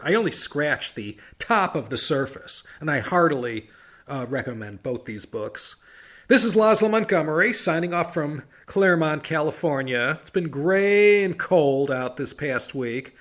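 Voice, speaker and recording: A man's voice: a little high, animated, unhurried. A man hosting a history podcast, telling the story in a casual, chatty way with wry asides and stressed words.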